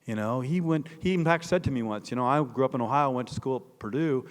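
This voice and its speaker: A man's voice: mid-range voice, fast talker. An older man with an American Midwestern accent, a biographer telling stories conversationally as he answers an audience question, in an emphatic, digressive way. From northeastern Indiana, United States. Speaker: author and biographer giving a talk. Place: large indoor hall, public talk. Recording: echoey hall with long reverb.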